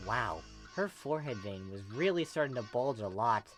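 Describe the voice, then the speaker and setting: Woman reading fanfic out loud, deep voice, very up and down, bit of music behind. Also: animated, moderate pace. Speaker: narrator. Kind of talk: animated fan fiction reading.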